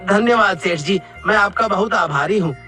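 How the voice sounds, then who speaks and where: A man's voice: high-pitched, normal speed, pushed and bright. A man playing a humble, polite and earnest samosa seller in a cartoon moral story. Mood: grateful, polite. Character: humble, hardworking male samosa seller and his customer. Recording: faint background music under the voice.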